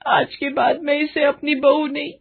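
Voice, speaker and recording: A woman playing a scheming middle-aged Indian mother-in-law in an animated moral story, with a sneering, melodramatic delivery. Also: sits high, normal speed, creaky, narrow, tinny audio, voice pushed up. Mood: tearful, remorseful.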